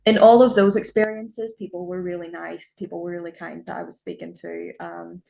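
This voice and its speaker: A young woman with a Northern Irish accent chats on a podcast, lower-pitched than most women. Her speech is loose and full of fillers and restarts. The recording sounds a little dull and lo-fi. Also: flat delivery, a little fast, creaky, warm tone. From Northern Ireland. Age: young adult. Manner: chatty, with many fillers and restarts. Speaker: podcast guest, student and music journalist. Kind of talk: casual podcast chat.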